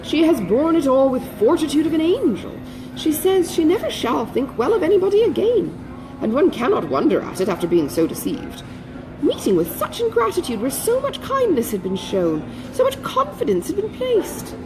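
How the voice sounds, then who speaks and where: A woman reading a classic novel aloud, voicing a pompous, long-winded gentleman full of solemn grievance. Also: high-pitched, very animated, normal speed, noisy background, pitched above the performer's own voice. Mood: aggrieved, indignant. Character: pompous, self-righteous married gentleman, solemnly aggrieved brother.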